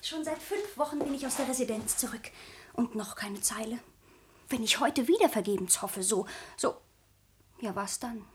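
An actress playing a lovesick, impatient young granddaughter in a theatrical radio comedy, with sighing, exclamatory delivery. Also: female voice, fairly high pitch, moderate pace, slight background noise, lightly acted. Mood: yearning, pouting. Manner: exclamatory, sighing, speaks old-fashioned stage German.

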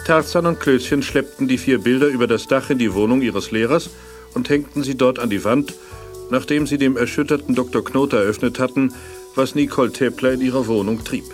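Male narrator, mid pitch, scripted audio drama storytelling, prominent music underneath. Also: brisk.

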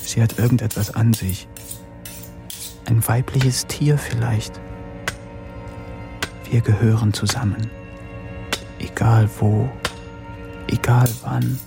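A man's voice: mid-range voice, fairly even intonation, takes it slowly, gravelly, pauses often, over music. An actor playing a brooding, wild and devoted young man, speaking in intense fragments in a radio drama. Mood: fervent.

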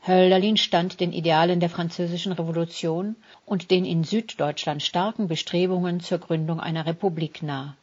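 A woman reading a scripted literary essay as narrator in a measured, formal delivery. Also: lowish voice, steady tone, a little mellow, highs cut off.

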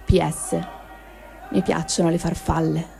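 A woman giving a measured, solemn dramatic reading of a written diary, with pauses between phrases. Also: sits low, level tone, gravelly, mellow, soft background music. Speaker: reader of written testimonies.

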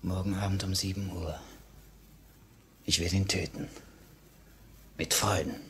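A very deep male voice on a slightly noisy old radio recording. He is a revolutionary assassin, fervent and grimly eager, in mid-century radio drama style. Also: flat delivery, unhurried, a bit bright. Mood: grim resolve. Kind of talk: 1950s German radio drama acting.

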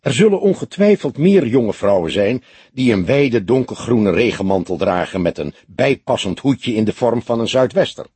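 A man voicing a calm, skeptical old police detective in an audiobook, measured and placating. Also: medium pitch, breathy edge, no room sound. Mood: soothing. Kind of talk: audiobook character voice.

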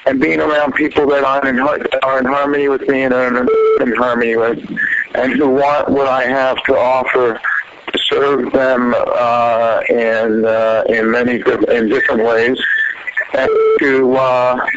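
A man calling in by phone, speaking in a halting, rambling way and often reworking his phrases. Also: medium pitch, little pitch movement. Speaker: caller to a prayer line. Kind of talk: unscripted phone conversation. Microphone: telephone. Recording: muffled, noisy phone call recording.

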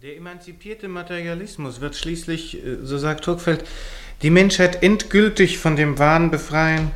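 A man's voice: a little high, normal speed, lightly acted. A man in a radio play acting a suave, long-winded intellectual villain with a pedantic, ironic manner. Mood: smug lecturing.

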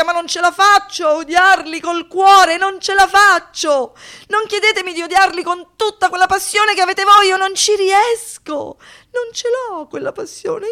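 Young female survivor, high-pitched, haunted, defiant, theatrical delivery, poetic radio drama monologue. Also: full character voice. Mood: anguished. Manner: dramatic, swinging between hushed reflection and impassioned outbursts. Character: traumatised young woman survivor of a brutal assault, anguished, defiant.

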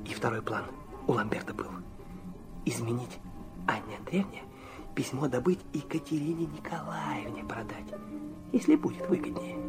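A man's voice: mid-range voice, normal speed, long pauses, music in the background, lightly acted. An actor playing an intense, naive young man in a radio drama, confessional and emotional in his delivery. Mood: brooding narration. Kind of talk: Russian radio drama acting with narration.

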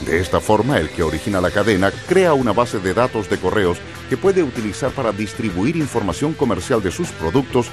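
A male narrator reads educational copy in a low voice. His intonation moves animatedly, and music plays clearly underneath. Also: animated, fairly quick, few pauses.

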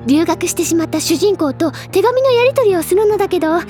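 A woman playing a bubbly, stubborn high school girl who gushes and lectures theatrically about literature in an audio drama. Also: animated, a little fast, mellow, soft background music. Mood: gushing delight. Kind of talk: Japanese anime-style audio drama acting.

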